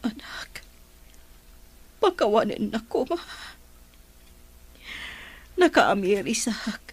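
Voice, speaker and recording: Babaeng gumaganap na pagod na nagsisising ina. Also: female voice, medium pitch, very animated, slow, breathy edge, exaggerated voice. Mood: pleading. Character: aging remorseful mother, weary. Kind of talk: radio drama acting.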